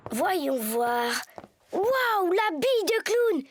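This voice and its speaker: A woman voicing an exasperated young schoolboy, whiny and expressive, in a French cartoon. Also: extremely high pitch, very slow, no room sound, clean studio sound, heavily acted. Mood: puzzled, amused. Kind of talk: French animated series voice acting. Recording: music behind the voice.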